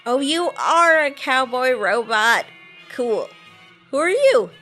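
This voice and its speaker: Slow-paced, higher-voiced woman gamer. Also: lively intonation, sharp tone, faint music behind. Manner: informal, sweary. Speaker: game player. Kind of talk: casual playthrough reactions, spoken in character.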